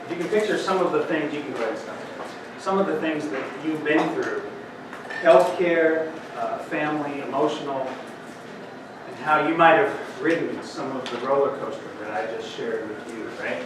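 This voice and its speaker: A man from Colorado speaks slowly to a conference crowd, dramatic and shifting between loud and soft. His voice sits a bit high. It is heard from a distance in a heavily echoing hall, with a steady hiss of noise under it. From Denver, Colorado. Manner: dramatic, slow, swings loud and soft, bursts into song. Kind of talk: spoken word poetry and talk. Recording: very echoey hall, from far off, noisy.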